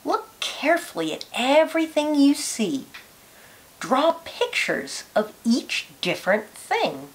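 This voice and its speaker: A woman reading a children's educational picture book aloud like a teacher, phrasing it carefully and deliberately for young listeners. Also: sits high, sing-song, slight background noise.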